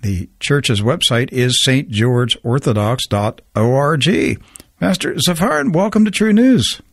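A man's voice: mid-range voice, expressive, measured pace, dry recording. A man hosting a talk radio show, reading a scripted intro and then interviewing with earnest, stumbling restarts.

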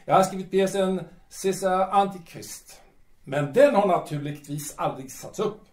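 A man acting boastful absinthe-drinking playwright, bitter, halting delivery, fairly high male voice, roomy sound. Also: close to an everyday voice. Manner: boastful, halting, stammering repetitions. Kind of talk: Swedish audio drama acting. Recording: roomy echo.

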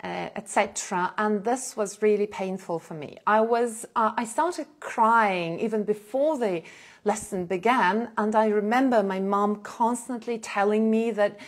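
A woman's voice: mid-range voice, unhurried. Woman telling her story on a live stream. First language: Russian. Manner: animated, gestures a lot while talking, with frequent fillers and short laughs. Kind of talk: casual personal storytelling. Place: indoor room in front of a plain blue backdrop. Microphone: small clip-on lapel mic.

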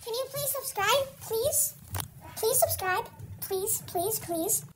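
Tiny timid cartoon monkey child, extremely high and squeaky, whiny pleading, swooping pitch. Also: expressive, slow pace, full character voice. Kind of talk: cartoon voiceover for short animation. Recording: noisy, clicky home recording.

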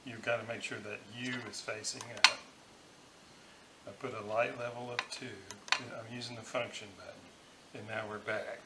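A man giving an informal hands-on technical demonstration as an electronics hobbyist, halting and thinking aloud, recorded in a room at home on a camera's built-in microphone. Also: on the low side, level tone. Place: room in a house. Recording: roomy echo, faint background noise.

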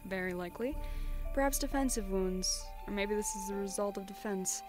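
A woman's voice: medium pitch, normal speed, lightly acted. A woman playing a stern, analytical investigator in a fantasy audio drama, clipped and sardonic. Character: stern, accusing female investigator in a fantasy world. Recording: music playing behind. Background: background music.

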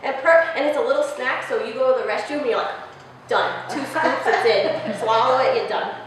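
A young woman chef giving a casual, animated cooking demonstration to a class, speaking informally with lots of "like". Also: high voice, brisk. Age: young adult. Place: large classroom, indoors. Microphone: distant camera microphone. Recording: echoey room, distant mic, noisy.

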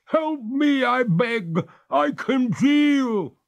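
A man voicing a genteel female water ghost who pleads and protests in a high, drawn-out voice. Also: expressive, gravelly, muffled recording, heavily acted. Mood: pleading.

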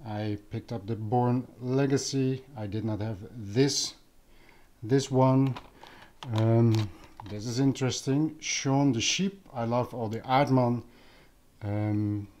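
Slow-talking Dutch-accented man, mellow voice. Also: medium pitch, steady tone. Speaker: vlogger, audio enthusiast. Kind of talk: casual unscripted vlog commentary. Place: living room at home.

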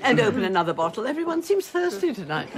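A woman's voice: a little high, sing-song, fast talker. An actress playing an imperious, sardonic older lady of the house with an English accent in a radio drama. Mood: imperious, wry. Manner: commanding, sardonic. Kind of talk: British period radio drama acting.

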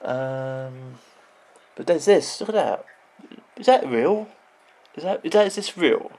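A man in his thirties with a croaky voice, rambling off the cuff as he vlogs about video games at his computer, full of restarts and "you know"s. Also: fairly high pitch, expressive, slow pace, warm tone. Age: 30s. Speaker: video game vlogger. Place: indoors at a computer.

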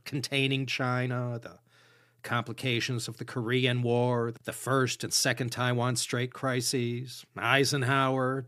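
A man from the United States hosting a history podcast, reading scripted narration in a measured storytelling way with dry asides. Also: mid-range voice, dry recording. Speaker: podcast host.

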